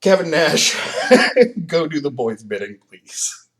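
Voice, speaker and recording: A man co-hosting a podcast in casual chat, animated and profane, laughing often. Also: fairly high pitch, slow pace. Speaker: podcast co-host.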